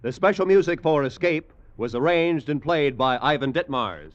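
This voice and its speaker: A man's voice: a little high, animated, music in the background. Formal male announcer, dull old radio sound. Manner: formal, measured announcer delivery. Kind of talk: 1950s radio announcing, scripted.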